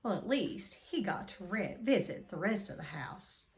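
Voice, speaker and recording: A woman reading a children's book aloud expressively, with deliberate dramatic emphasis. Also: mid-range voice, big pitch swings. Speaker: read-aloud storyteller.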